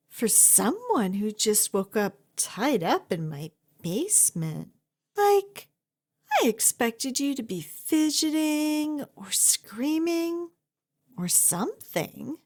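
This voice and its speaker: An obsessive, lovestruck young female captor, acted by a woman in an ASMR roleplay. Her voice is fairly high and swings expressively in pitch, with very slow, drawn-out delivery. She rambles sweetly and possessively, doting on the listener with hesitant asides. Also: full character voice. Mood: sweet, menacing.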